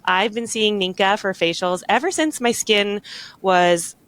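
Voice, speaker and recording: A young woman hosting a podcast interview, chatty and warm, sprinkling her questions with "like" and "um". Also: mid-range voice, unhurried, clean tone. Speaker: podcast host. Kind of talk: interview conversation.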